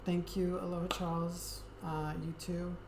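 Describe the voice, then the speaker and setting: Woman, low voice, soft-spoken livestream chat, hesitant with ums, roomy echo, noisy background. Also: a little slow. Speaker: Twitch streamer. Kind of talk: casual chat with viewers. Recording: echoey room with steady background noise.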